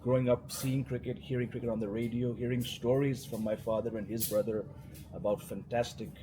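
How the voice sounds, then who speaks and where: A man's voice: mid-range voice, fairly even intonation, fairly quick, background noise. A man with an Indian accent, born and raised in India, a cricket journalist chatting as a guest in a casual interview, wry and full of restarts and fillers.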